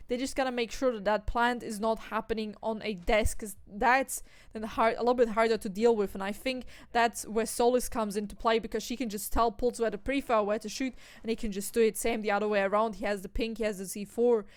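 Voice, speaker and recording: A young woman from Austria, commentating an esports match solo, breaking down tactics and lifting into excited calls on the kills. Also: on the high side, fairly quick, hardly any pauses, no room sound. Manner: analytical, rising to excited bursts on kills. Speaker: esports caster.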